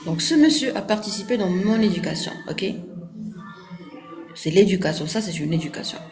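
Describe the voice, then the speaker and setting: A woman's voice: mid-range voice, lively intonation, moderate pace, music in the background. A woman speaking French with an Arabic accent, Arabic as her first language, answering viewers in a firm, didactic live stream and checking agreement with "ok?". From Morocco. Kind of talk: casual live-stream talk.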